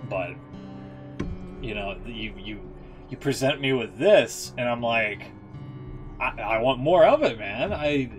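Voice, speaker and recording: A man streaming casual reaction commentary, talking in an informal, halting, sweary way. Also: mid-range voice, lively intonation, over music. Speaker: game streamer.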